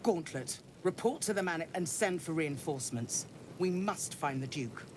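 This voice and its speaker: An actress playing a commanding, formal city counselor who speaks in an authoritative, measured way. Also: female voice, low voice, animated, noisy background.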